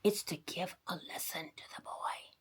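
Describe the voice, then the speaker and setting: A woman voicing an elderly gentleman rabbit in a storybook, an eager and kindly schemer who exclaims and confides. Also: moderate pace, in a whisper, lightly acted. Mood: sly.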